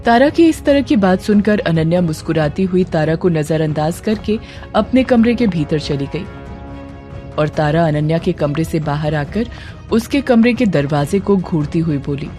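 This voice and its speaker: Mellow female horror-story narrator over music. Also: lowish voice, lively intonation, normal speed. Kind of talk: dramatic scripted story narration. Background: music under the voice.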